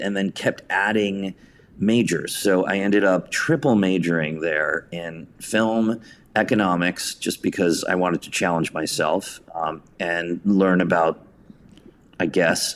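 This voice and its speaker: An American man with a low voice speaks as an interview guest. He is reflective and conversational, telling anecdotes and leaning on key words for emphasis. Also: slight background noise. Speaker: guest, theatre writer and director.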